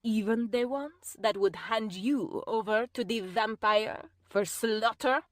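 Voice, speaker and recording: Audiobook villainess type: a woman reading as a calm, chilling, self-righteous woman, slow and deliberate. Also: fairly high pitch, no room sound, studio quality. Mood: cold, calm. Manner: slow, calm, measured, at times whispered. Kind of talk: audiobook character voice.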